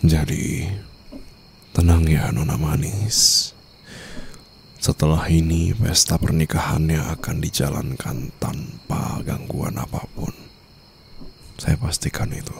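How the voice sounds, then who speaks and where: Very deep, gravelly mafia boss, acted by a man. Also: steady tone, a little hiss or hum, exaggerated voice. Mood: calm, assured. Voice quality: very deep, gravelly, often dropping to a breathy murmur. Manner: slow, smug, formal and controlled. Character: menacing, teasing mafia boss, newly wed husband. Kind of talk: ASMR romance roleplay.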